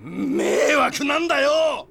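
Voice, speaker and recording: An actor playing a brash, hot-headed, proud swordsman in an anime audio drama, with rough, defiant speech that bursts into shouts. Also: very high voice, sing-song, takes it slowly, heavily acted. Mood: bitter, furious.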